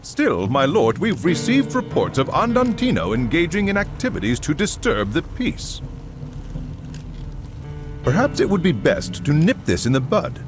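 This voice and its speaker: A man's voice: fairly high pitch, wildly swooping pitch, unhurried, over music. A man voicing an anxious, deferential retainer in a video game, speaking formally and cautiously to his lord. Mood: worried, deferential. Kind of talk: English-language video game voice acting.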